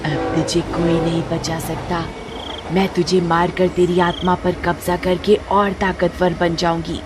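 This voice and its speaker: A woman's voice: on the low side, flat delivery, unhurried, background noise, voice pushed down, lightly acted. A woman voicing a menacing, commanding female witch ghost in a cartoon horror story. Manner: threatening, commanding.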